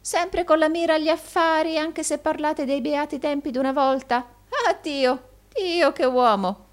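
A woman voicing a sly old male moneylender in an audiobook, gushing flattery in repeated exclamations. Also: high voice, measured pace, voice pushed up. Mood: mock dismay. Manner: gushing, repetitive, exclaiming with groans and chuckles.

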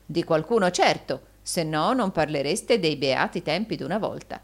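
A woman's voice: deep voice, animated, moderate pace. A woman voicing a cold, impatient businessman in an audiobook, speaking in a dry, cutting manner. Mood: blunt, pressing.

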